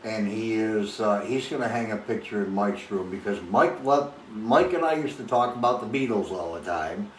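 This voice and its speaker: A man's voice: on the low side, normal speed, few pauses. A man hosting in a casual, conversational style while talking with someone on the phone. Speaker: host, house caretaker making a call. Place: outdoors on a front porch. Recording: echoey, distant, faint noise.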